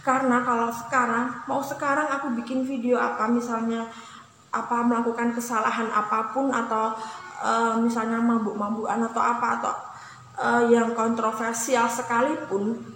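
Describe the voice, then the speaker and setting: A woman's voice: on the high side, flat delivery, normal speed, a bit bright. A woman vlogger talking casually to her viewers, rambling with many fillers and restarts. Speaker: YouTuber. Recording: echoey room with long reverb, noisy.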